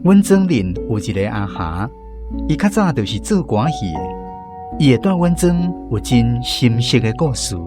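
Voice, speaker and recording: A man's voice: mid-range voice, very animated, moderate pace. A man with a Taiwanese accent hosting a radio programme and narrating a scripted story. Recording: music playing behind the voice.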